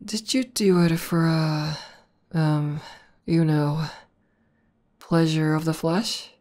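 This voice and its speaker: A woman playing an affectionate, teasing tomboy demon girlfriend in an intimate ASMR roleplay, speaking softly with hesitant pauses. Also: sits low, steady tone. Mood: tender, playful. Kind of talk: ASMR roleplay audio spoken to the listener.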